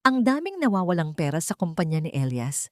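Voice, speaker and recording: A woman's voice: fairly low pitch, big pitch swings, brisk, darkish tone, no room sound, clean studio sound. A woman voicing a suspicious, irritated old woman who scolds. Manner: doubting, scolding.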